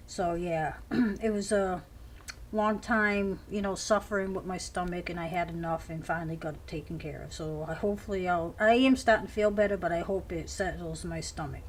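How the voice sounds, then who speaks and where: Scratchy-voiced woman showing a craft journal. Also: medium pitch. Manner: chatty, describes each page as she flips. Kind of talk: casual show-and-tell.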